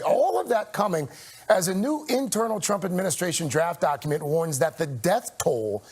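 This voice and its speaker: An American man reading the news as a broadcast anchor, with a slightly husky voice. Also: sits high.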